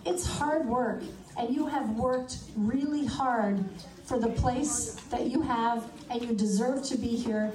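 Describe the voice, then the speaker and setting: Slow-speaking woman addressing a crowd. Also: fairly high pitch, distant mic. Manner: slow, emphatic, repetitive. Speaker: speaker at a public event. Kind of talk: public speech. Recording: roomy, from afar, crowd behind.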